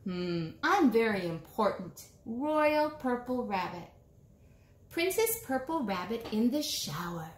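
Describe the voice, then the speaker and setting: A woman's voice: fairly high pitch, big pitch swings, slow pace. A woman reading a storybook as a curious, playful little rabbit who muses aloud and exclaims. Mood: proud. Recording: roomy small-room echo.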